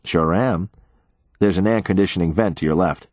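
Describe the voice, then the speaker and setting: A man reading the lines of a calm grown man who gives directions in a brisk, matter-of-fact way. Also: deep voice, dry recording, clean studio sound. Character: calm grown man guiding over radio.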